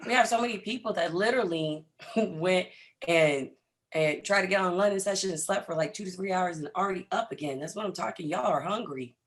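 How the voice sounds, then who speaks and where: A man with a hoarse, lost voice, talking in an energetic, hype-filled way to viewers as a trading coach on a live stream. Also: high-pitched, brisk.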